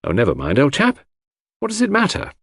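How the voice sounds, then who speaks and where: A man voicing an earnest, loyal gentleman sidekick with a hearty, old-fashioned chummy manner in a mystery audiobook. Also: on the high side, wildly swooping pitch, brisk, gravelly, husky, dry recording, studio quality, pitched above the performer's own voice, exaggerated voice. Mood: consoling.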